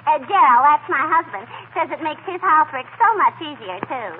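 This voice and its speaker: A woman's voice: high voice, lively intonation, a little fast. An actress playing a fluttery, chatty, eager married art student in a 1940s radio comedy, gushing as she talks. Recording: tinny 1940 radio broadcast, faint noise.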